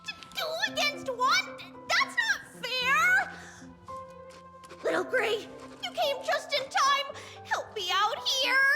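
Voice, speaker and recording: Mischievous little girl for an anime game: tiny high voice, unhurried delivery, cutesy and theatrical. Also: sing-song, over music, heavily acted. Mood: indignant, flustered. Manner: cutesy, theatrical, refers to self by name. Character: playful, mischievous little girl who speaks in third person. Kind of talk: anime-style video game voice acting.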